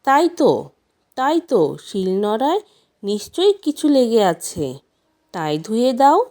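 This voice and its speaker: Scheming elder queens from a storybook, put on by a woman narrator, sly and spiteful under false agreement. The voice is high and swings in pitch. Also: sing-song, slow, dark tone, full character voice.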